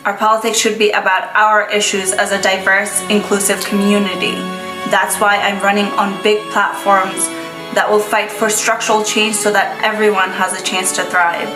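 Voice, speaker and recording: A woman from Afghanistan narrating her campaign video in a steady, earnest delivery. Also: mid-range voice, a little fast. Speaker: political candidate. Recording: roomy sound with music behind.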